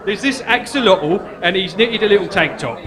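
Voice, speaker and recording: A man's voice: high voice, expressive, normal speed, crowd noise. A man acting a naive, jittery, unhinged prisoner in a live stage comedy, rambling and faltering plaintively, with some noise and hum behind him. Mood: rambling, excited. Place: live performance venue. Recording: noticeable background noise and hum.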